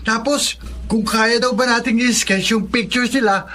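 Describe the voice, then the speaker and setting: An actor playing an excitable, stammering young male friend in a radio drama, with a Filipino accent. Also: medium pitch, moderate pace, close to an everyday voice. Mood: excited.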